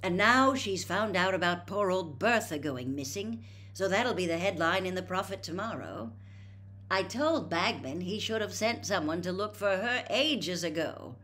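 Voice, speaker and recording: A woman's voice: on the low side, lively intonation, normal speed, fairly bright tone, pitched above the performer's own voice. A tired middle-aged dad from a storybook, read by a woman. He grumbles in an exasperated, irritable way. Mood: weary. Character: weary, irritable middle-aged father, government worker. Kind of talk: storybook character voice.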